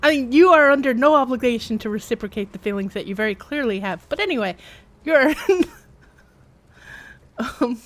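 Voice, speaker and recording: A woman giving casual, playful live commentary as a game streamer, with wry asides. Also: fairly high pitch.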